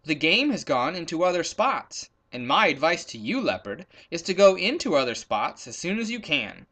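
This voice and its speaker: A man voicing a very wise old-tale baboon who gives advice in a knowing, measured way. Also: on the high side, sing-song, fairly bright tone. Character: very wise dog-headed male baboon giving advice.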